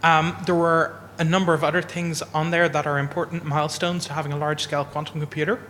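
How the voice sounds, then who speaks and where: A man on a panel giving a careful, measured answer. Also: on the high side, little pitch movement, a bit bright, a little hiss or hum. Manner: careful, measured explanation with fillers. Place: event hall. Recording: reverberant hall with faint ambience.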